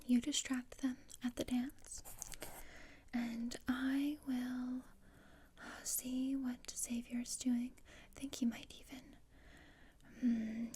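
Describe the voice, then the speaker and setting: A scheming, macabre teenage girl, acted out by a woman for an ASMR roleplay. She speaks in a flat, deadpan whisper with very little movement in pitch. Her delivery is slow and measured, dryly relishing the gloomy and the morbid.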